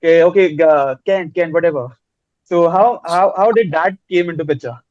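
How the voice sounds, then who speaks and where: High-voiced man hosting, muffled sound. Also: normal speed, darkish tone, dry recording. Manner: hesitant, many fillers. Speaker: host. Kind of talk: panel interview.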